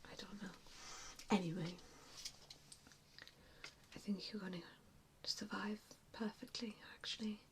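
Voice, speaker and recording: A woman performing an ASMR roleplay as a vampire assessor, speaking in a breathy, mostly unvoiced whisper with hushed pauses. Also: medium pitch, steady tone, slight background noise. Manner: slow, hushed delivery with frequent pauses. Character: vampire assessor, a wistful former hunter, gently probing.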